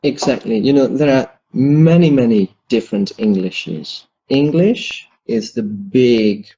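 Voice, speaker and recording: A man's voice: medium pitch, animated, takes it slowly, warm tone, husky. A man hosting an English lesson, chatting casually and listing many examples as he agrees with his co-host. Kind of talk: casual conversation. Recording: dull lo-fi audio.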